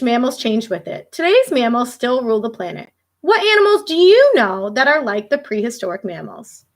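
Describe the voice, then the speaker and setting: A woman teacher reading a children's book aloud in an expressive, emphatic way, adding asides and questions for her young listeners. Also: fairly high pitch.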